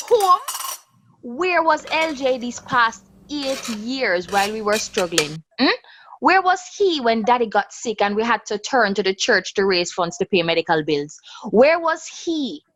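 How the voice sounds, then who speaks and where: A woman's voice: on the high side, a bit bright, no room sound. A woman playing a bitter, angry grown daughter in a radio drama, mocking and confrontational.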